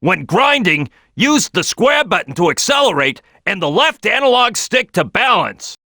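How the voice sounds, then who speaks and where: A man's voice: sits high, very animated, moderate pace, sharp tone, dry recording, studio quality, exaggerated voice. A man voicing a big brash cartoon crocodile team leader in a video game, giving tutorial tips with emphatic, punchy delivery. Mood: brash, forceful. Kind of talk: animated game tutorial voice lines.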